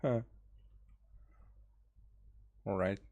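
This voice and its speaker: A woman streaming a game, giving casual, halting commentary in short muttered remarks. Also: dark tone. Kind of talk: casual reaction commentary over gameplay.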